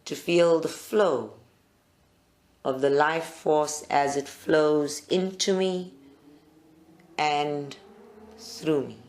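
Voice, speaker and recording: Meditation guide, very slow pace, mid-pitched voice, deliberate pauses, live group session. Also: steady tone, darkish tone. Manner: deliberate, short phrases with pauses.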